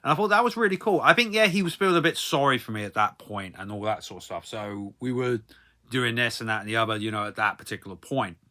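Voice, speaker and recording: Bright, forceful man rambling casually. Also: mid-range voice, lively intonation, fast talker. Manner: hesitant and repetitive, often trailing into tag phrases. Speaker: vlogger. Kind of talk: rambling casual story time monologue.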